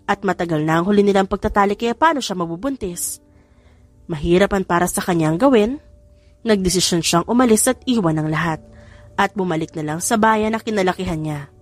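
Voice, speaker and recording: A woman's voice: lowish voice, fairly quick, dry recording, soft background music. A woman reading a scripted romance story as an audiobook narrator.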